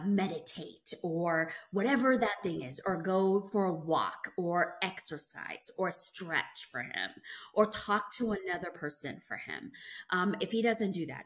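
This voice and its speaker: A middle-aged woman whose first language is not English, talking animatedly as an interview guest, emphatic and reflective. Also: deep voice. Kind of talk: conversational interview.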